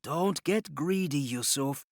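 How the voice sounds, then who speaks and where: A man's voice: fairly high pitch, animated, takes it slowly, gravelly, no echo, clean studio sound, exaggerated voice. A man voicing a cautious, brave older youth in an audiobook, speaking seriously and urgently. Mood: cautioning. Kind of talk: audiobook character dialogue.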